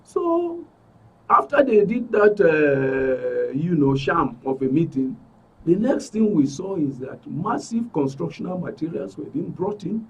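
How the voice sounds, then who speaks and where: A man with a Nigerian accent and a husky voice giving an emphatic account as an interview guest, repeating phrases for stress. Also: on the high side, very animated, measured pace, distant mic, highs cut off. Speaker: interview guest, club member. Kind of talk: spoken account in a broadcast interview.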